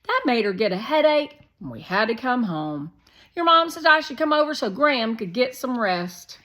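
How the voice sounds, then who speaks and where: A woman voicing a loud, pushy, energetic young boy in a children's storybook, blurting things out eagerly. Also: a little high, moderate pace, pitched above the performer's own voice. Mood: eager chatter. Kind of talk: children's storybook read-aloud.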